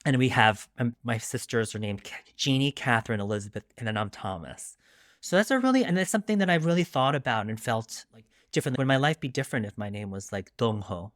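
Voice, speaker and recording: A man's voice: mid-range voice, animated, fast talker, a bit bright, no room sound, clean studio sound. A middle-aged man from Long Island, New York, speaking candidly and reflectively as a guest in an interview, often adding asides. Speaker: guest author.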